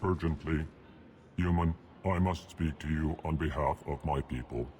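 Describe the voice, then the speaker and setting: A man voicing a huge, solemn alien diplomat in a video game, deadpan and ponderous, naming each emotion before he speaks. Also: rumbling low, normal speed, slight background noise. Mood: solemn pleading. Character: huge solemn elcor alien diplomat, pleading for his people.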